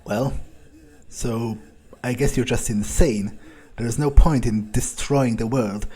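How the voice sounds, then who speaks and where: A man's voice: medium pitch, fairly even intonation, slow pace, dark tone, breathy edge. A man thinking aloud in character as he plays a game, in an understated, musing way. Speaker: gameplay video creator.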